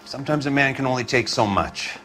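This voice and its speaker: Wounded, pleading American husband suspect played by a male actor, mid-pitched, plain-spoken, for a detective game. Music underneath. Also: fast talker, bright, forceful tone, close to an everyday voice. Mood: defensive. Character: browbeaten husband, murder suspect under interrogation, defensive and wounded. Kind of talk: dramatic video game acting.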